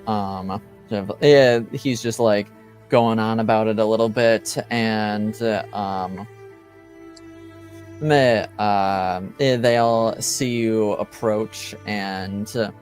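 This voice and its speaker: A man running a tabletop game as game master, narrating haltingly with many ums and uhs. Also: fairly low pitch.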